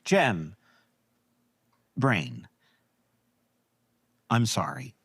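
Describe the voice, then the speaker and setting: A woman playing a self-important, easily flustered starship captain in a live sci-fi parody, with a halting, melodramatic delivery. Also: very deep voice, sing-song, breathy edge, exaggerated voice. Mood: sheepish, pleading. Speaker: actor in a live stage audio drama.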